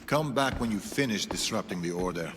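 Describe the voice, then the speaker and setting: An actor playing a stern, calculating rebel strategist in a video game, speaking in a measured, commanding way. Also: male voice, on the low side, animated, a bit bright, slight background noise. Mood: stern, purposeful. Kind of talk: video game cutscene acting. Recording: long reverberant hall, music behind.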